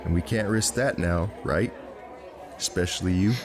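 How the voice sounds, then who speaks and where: A man's voice: deep voice, normal speed, crowd noise. A man playing a cocky, defiant operative in a naturalistic drama, brash and dismissive. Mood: insistent. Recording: music under dialogue.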